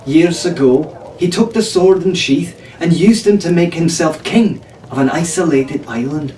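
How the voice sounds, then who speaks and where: A man's voice: fairly high pitch, a little slow, darkish tone, recorded from a distance, pitched above the performer's own voice, close to an everyday voice. In a roomy, echoing space with faint noise, a man acts out a strong-willed teenage tavern girl from a storybook. She knows her legends and delivers them earnestly and firmly. The voice comes from slightly off the mic. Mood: earnest, ominous.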